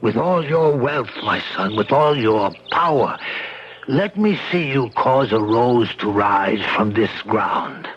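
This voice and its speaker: A man's voice: medium pitch, expressive, husky. An actor playing a proud old immigrant gardener and father who narrates his own story. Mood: grave. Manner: slow, heavy, grave delivery. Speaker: narrator and lead character. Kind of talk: 1970s radio drama acting. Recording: dull lo-fi radio broadcast with music.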